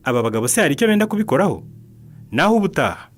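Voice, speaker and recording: A man's voice: fairly high pitch, sing-song, very fast. A man with a Rwandan accent narrating and announcing a radio drama in a scripted style.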